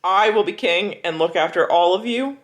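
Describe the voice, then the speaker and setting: A young adult woman chatting casually as a book vlogger, gushing and animated, with dramatic emphasis and plenty of "like" as filler. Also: fairly low pitch, normal speed. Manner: frequent filler "like". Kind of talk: casual reading vlog chat.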